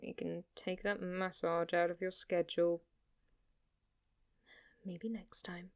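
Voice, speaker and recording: A woman's voice: on the low side, flat delivery, slow pace, clean tone. A woman playing a flirty, submissive demon maid who speaks with fanatical reverence in an ASMR roleplay, sometimes dipping into a whisper. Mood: playful, servile.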